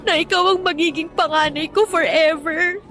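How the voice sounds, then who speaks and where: An affectionate former nanny in a radio drama, her voice pitched high. She is motherly and emotional, with a playful scolding streak, over faint music. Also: fairly even intonation, moderate pace, a bit bright. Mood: tender. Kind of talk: Tagalog radio drama acting.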